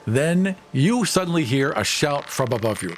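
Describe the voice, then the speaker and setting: A man's voice: a little high, very animated, moderate pace. A man narrating as game master of a tabletop role-playing game, describing scenes and prompting the players. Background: background music.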